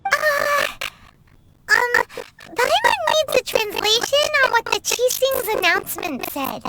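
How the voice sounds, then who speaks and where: A woman voicing a small childlike fairy companion in a video game, excitable and curious, exclaiming often. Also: very high voice, takes it slowly, very noisy, full character voice. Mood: puzzled, suspicious. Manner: refers to self in third person, exclaiming. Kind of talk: animated video game dialogue. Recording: loud game music behind the voice.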